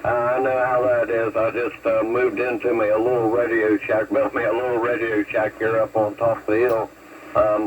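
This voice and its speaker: A folksy, plain-spoken man from West Virginia chatting casually over a noisy CB radio link. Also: fairly low pitch. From West Virginia, USA. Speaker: CB radio caller and hobbyist. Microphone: radio transceiver microphone. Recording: muffled, very noisy distant CB radio transmission.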